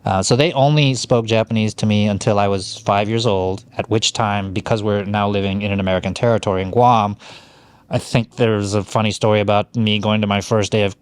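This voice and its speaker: A man's voice: fairly low pitch, steady tone, fairly quick, clean tone. A middle-aged man from Hawaii co-hosting a podcast, telling his life story in a rambling, casual way with many fillers and restarts. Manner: rambling, frequent fillers and self-corrections. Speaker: podcast co-host.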